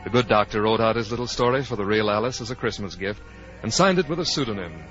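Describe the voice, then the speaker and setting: Animated, bright 1940s radio announcer man. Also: fairly low pitch, fast, faint music behind. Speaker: radio drama narrator and announcer. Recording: dull 1940s radio recording.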